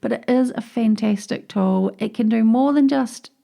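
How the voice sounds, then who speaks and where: Woman, New Zealand accent, podcast host, chatty and upbeat, heavy vocal fry. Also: fairly high pitch, moderate pace, dark tone. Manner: upbeat and chatty, explaining step by step. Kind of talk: solo podcast tips episode.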